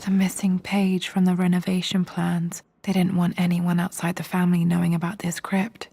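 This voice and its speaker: An actress playing a pensive, quietly awed young adventurous daughter in a video game, murmuring to herself in a hushed voice. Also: female voice, fairly low pitch, monotone, normal speed. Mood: hushed wonder. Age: young adult. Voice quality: creaky, hushed. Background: music.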